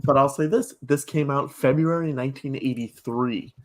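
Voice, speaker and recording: A man's voice: mid-range voice, moderate pace. A man talking casually in a podcast discussion. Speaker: podcast speaker. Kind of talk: casual chat.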